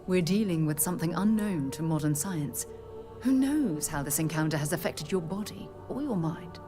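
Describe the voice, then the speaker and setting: Low-voiced, earnest woman scientist. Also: lively intonation, normal speed. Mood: concerned, reassuring. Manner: articulate, thoughtful. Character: earnest, concerned woman scientist of a space explorers' lodge. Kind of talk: video game dialogue acting. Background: game music.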